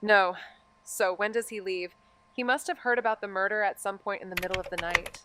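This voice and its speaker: A woman's voice: mid-range voice, steady tone, fairly quick, a bit bright, a little hiss or hum, natural voice, rough recording. A woman acting a wry, profane young amateur detective in a noir audio drama, moving between casual banter and narration. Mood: intrigued, wry.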